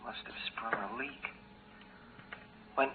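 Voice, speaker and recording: An actor playing a carefree, absent-minded young scientist radioing from the moon, rambling in a singsong way, in 1950s radio drama style. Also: male voice, on the low side, fairly even intonation, takes it slowly. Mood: woozy, worried. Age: young adult. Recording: tinny, band-limited, hissy 1950s radio recording.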